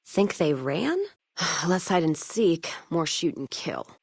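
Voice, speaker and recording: Hard-bitten frontier caravan woman, low pitch, American accent, sardonic folksy slang, foul-mouthed, dry studio sound, video game character. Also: animated, takes it slowly, breathy edge, dry recording, exaggerated voice. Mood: irritated, menacing.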